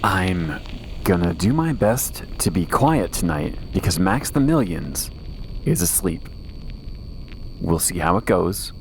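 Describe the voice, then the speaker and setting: A man's voice: deep voice, sing-song, takes it slowly, gravelly, long pauses, slight background noise, full character voice, rough recording. A man playing a wry, weary post-apocalyptic radio host who rambles conversationally through a late-night audio drama monologue. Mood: hushed, weary. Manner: conversational, wry, rambling asides and self-corrections.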